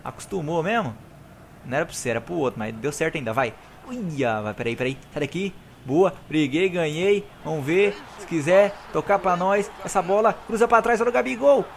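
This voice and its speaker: A young Brazilian man, hoarse from recording, giving excited, slangy commentary over his own football video game match and talking straight to his viewers. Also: a little high, a little fast, a little hiss or hum. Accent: Brazilian Portuguese. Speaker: gaming YouTuber. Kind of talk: live gameplay commentary.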